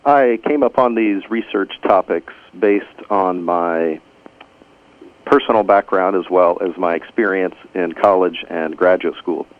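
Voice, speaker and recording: Deep-voiced Houston academic, hesitant and careful, heard over a tinny phone line. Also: male voice. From Houston, Texas. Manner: measured, many "uh" pauses and restarts. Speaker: guest historian. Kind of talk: interview answers.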